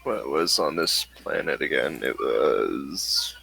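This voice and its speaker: A man narrating casually as game master of a tabletop role-playing game, in a halting way. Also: rumbling low, lively intonation, creaky, bright, forceful tone, breathy edge.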